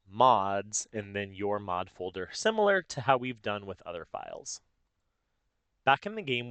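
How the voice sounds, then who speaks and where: A man narrating a casual step-by-step software tutorial, explaining informally and thinking aloud as he goes. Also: mid-range voice, smooth, no room sound. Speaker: tutorial host.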